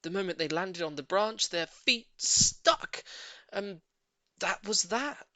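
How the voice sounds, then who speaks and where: A man narrating a children's audiobook in an expressive, theatrical and emphatic storytelling manner. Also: sits high, takes it slowly, dry recording.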